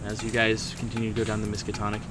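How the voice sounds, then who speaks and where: A man narrating a tabletop horror game as game master, in atmospheric, deliberate storytelling. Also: fairly low pitch, monotone, background noise. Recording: music behind the voice.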